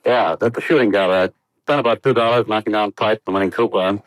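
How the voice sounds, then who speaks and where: An actor playing an earnest, troubled young American test pilot, hesitant and subdued in 1950s radio drama style. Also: male voice, lowish voice, fast, no echo, natural voice. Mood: sheepish.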